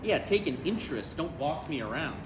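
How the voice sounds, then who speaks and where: Man playing an exasperated grown son, lively pitch. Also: a little fast. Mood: dry, exasperated. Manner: dry, hesitant, exasperated. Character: exasperated, put-upon adult son, anxious and dry. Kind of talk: live comedy script table read. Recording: echoey live room with faint noise.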